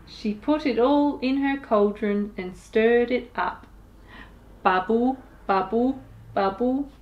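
Lady doing kids storytime, reads really really slow, kinda high voice, expressive and careful. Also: muffled recording. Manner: expressive, deliberate, with sound effects and asides to listeners. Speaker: children's storytime host. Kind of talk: picture-book read-aloud for young children.

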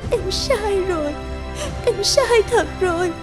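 A woman's voice: extremely high pitch, expressive, takes it slowly, heavily acted. A woman voicing a guilty young woman who is crying and apologizing in a cartoon. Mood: tearful. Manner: tearful, pleading, apologetic. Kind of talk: cartoon voice acting. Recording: music under the voice. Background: background music.

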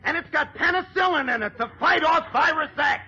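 A man's voice: extremely high pitch, a little fast, heavily acted. An actor playing a pushy, wisecracking male perfume clerk in a 1940s radio comedy, with a brash delivery. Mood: salesman patter. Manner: loud, brash comic delivery. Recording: dull vintage radio recording, audience behind.

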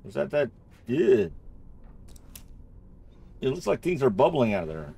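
A man giving casual, chatty gameplay commentary, thinking aloud and getting excitable. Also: medium pitch, a little slow, creaky, husky. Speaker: gamer recording a play-through.

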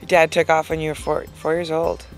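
A woman in her thirties giving a dramatic, mock-emotional reading of song lyrics, with halting, deliberate pauses, recorded outdoors on a handheld camera. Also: low-pitched, little pitch movement, soft background music. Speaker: comedic video host. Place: lying outdoors in a hammock. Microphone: camera held at arm's length.

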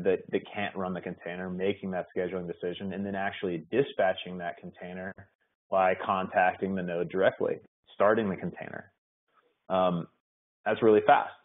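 A man presenting benchmark results at an online meetup, talking in a halting way with many fillers and restarts. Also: lowish voice. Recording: tinny phone-like web call audio.

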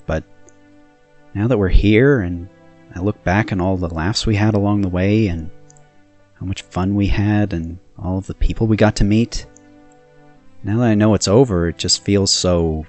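A man's voice: fairly low pitch, a little mellow, close to an everyday voice. A man voicing a dim, stubborn young adventurer, earnest and obtuse, in a comic fan dub. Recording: background music.

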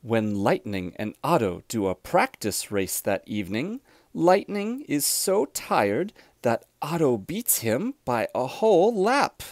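A man reads a children's storybook aloud with deliberate, expressive phrasing. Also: medium pitch, no echo, studio quality. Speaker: storybook reader.

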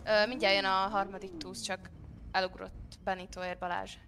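Bright-voiced woman, halting delivery. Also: medium pitch, flat delivery, slight background noise. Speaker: player in a group roleplay game. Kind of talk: casual in-game roleplay chat.

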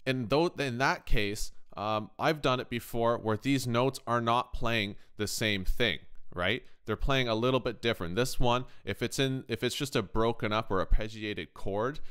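A man's voice: mid-range voice, normal speed, hardly any pauses, fairly bright tone, clean tone. A man hosting an informal music production tutorial, conversational and thinking aloud as he explains, often checking in with the listener. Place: indoors, voice-over on a screen recording.

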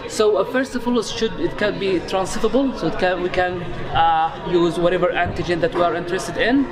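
A young man from Saudi Arabia, a PhD student, explaining his poster hesitantly with many pauses. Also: high voice, steady tone. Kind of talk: conference poster interview. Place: busy conference poster hall. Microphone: handheld camera mic at close range. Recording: loud crowd chatter behind.

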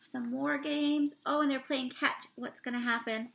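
A woman's voice: high-pitched, fairly even intonation, a little slow, gravelly, fairly bright tone. A young woman reading a picture book aloud to children, expressively drawing out words, talking through the pictures and asking her listeners questions. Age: young adult. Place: small room indoors.

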